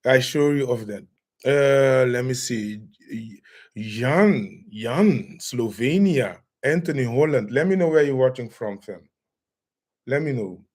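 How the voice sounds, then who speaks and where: A man's voice: mid-range voice, lively intonation, slow pace, darkish tone. A man hosting a live-streamed lecture, greeting viewers by name and asking where they are watching from. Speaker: livestream host.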